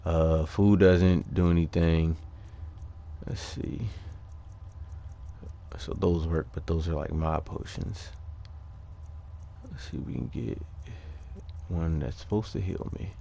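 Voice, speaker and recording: Low voiced gamer guy talking slow, kinda halting, walking thru his game stuff off the cuff. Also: fairly even intonation, creaky, mellow, a little hiss or hum. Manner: halting, unscripted, thinking aloud. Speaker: gamer presenting a character build. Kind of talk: casual gameplay commentary.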